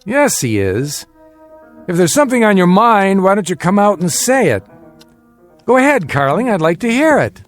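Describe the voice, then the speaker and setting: A man's voice: high voice, big pitch swings, moderate pace, soft background music. Guarded father, dramatically inflected. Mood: challenging. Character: steady, guarded family father planning a secret escape. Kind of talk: radio drama acting.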